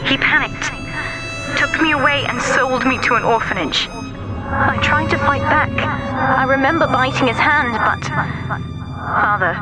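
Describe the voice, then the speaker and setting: A woman's voice: sits high, wildly swooping pitch, unhurried, over loud music, full character voice, low-quality recording. A woman playing an earnest, intense young daughter in a video game. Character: earnest young daughter recounting her wrongs. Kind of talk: video game narrative voice acting.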